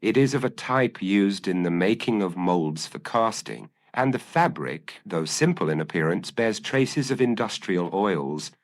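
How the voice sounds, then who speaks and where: A man voicing a brilliant, commanding male detective with calm, incisive, formal deductions in an audio mystery story. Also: low-pitched, a little slow, hardly any pauses, pitched above the performer's own voice. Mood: analytical, assured. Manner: crisp, formal, declarative deductions.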